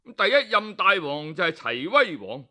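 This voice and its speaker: A man with a Hong Kong Cantonese accent telling a traditional story, animated and dramatic, with interjections and pauses. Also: high-pitched.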